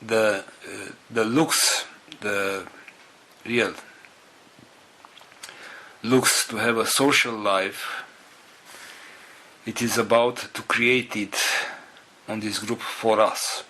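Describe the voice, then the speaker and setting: Slow, halting man with a bright voice. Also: on the low side, steady tone, slight background noise, poor audio. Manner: halting, broken into short phrases with pauses; non-native English phrasing. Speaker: spiritual group organizer. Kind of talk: organizational announcement to a group.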